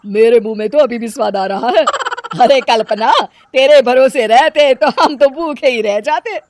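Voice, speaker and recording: A woman voicing a sarcastic, exasperated older mother-in-law in an animated story, scolding loudly. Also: a little high, normal speed, voice pushed up, lightly acted.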